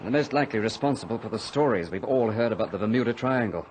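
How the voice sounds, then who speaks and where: An actor playing a level-headed, commanding pilot leading crash survivors in a radio drama, firm and reassuring. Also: male voice, mid-range voice, lively intonation, fast talker, a little hiss or hum, lightly acted. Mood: sober, explaining.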